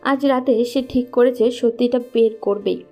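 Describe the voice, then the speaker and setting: High, mellow female story narrator. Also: fairly even intonation, a little slow, faint music behind.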